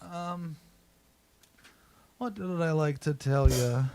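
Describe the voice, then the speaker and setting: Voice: mid-range voice, takes it slowly, a little hiss or hum. A musician and stream host freestyle rapping and half-singing in a loose, playful, rhyming flow over live looped music. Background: loud live drums and band music.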